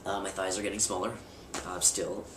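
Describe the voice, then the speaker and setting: Young man, very low voice, candid rambling vlogger, roomy distant home-camera sound with noise. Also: flat delivery, a little fast. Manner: candid, rambling with fillers and restarts. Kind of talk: casual personal vlog. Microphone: camera mic at a distance.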